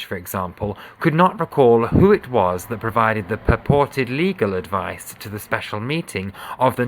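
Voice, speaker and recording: A man giving a sober, measured, formal reading of a scripted legal document. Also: lowish voice, fairly bright tone. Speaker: voice reader of a court judgment.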